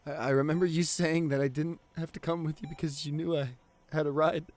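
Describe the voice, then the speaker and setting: A man playing a grieving, devoted boyfriend in an emotional ASMR audio roleplay, pleading haltingly through tears with his voice breaking. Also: on the high side, level tone, darkish tone, lightly acted. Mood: sad, subdued. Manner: halting, repeats phrases, voice breaking into sobs. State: crying. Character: grieving devoted boyfriend pleading at comatose partner's hospital bedside.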